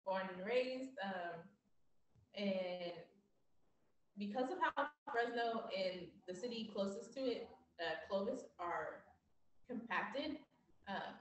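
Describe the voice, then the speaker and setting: A young woman from California, a guest in a casual video-call discussion, speaking in a relaxed, matter-of-fact way. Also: medium pitch. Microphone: webcam on a video call. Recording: very echoey room, far from mic.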